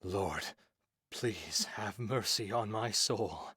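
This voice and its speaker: A man's voice: fairly low pitch, takes it slowly, bright, forceful tone, breathy edge, no room sound, studio quality, exaggerated voice. A man playing an anguished, self-loathing cursed ex-soldier in a visual novel, weary and dramatic. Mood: despairing. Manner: dramatic, despairing, polite but weary.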